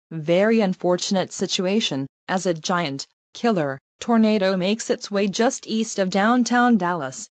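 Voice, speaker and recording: Lady playing a concerned tv weather anchor, medium pitch, really dry close recording. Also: darkish tone, dry recording, close to an everyday voice. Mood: somber. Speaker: news co-anchor. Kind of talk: live breaking-news weather coverage.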